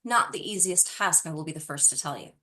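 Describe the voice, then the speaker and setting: A middle-aged woman speaks as a guest in a conversational interview. Her voice sits a little low. She is earnest and puts emphasis on the points she cares about. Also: lively intonation, fairly quick, sharp tone. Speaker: interview guest, tutoring business founder.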